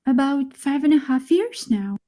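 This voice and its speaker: Soft, high-voiced young woman in dialogue. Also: sing-song, normal speed. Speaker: speaker in a recorded dialogue. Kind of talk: scripted conversation for learners.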